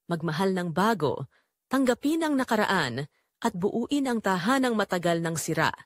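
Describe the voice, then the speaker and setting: A woman's voice: medium pitch, no room sound, studio quality. A woman with a Filipino accent reading a scripted first-person drama in an emotive, solemn way. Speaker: audio drama narrator.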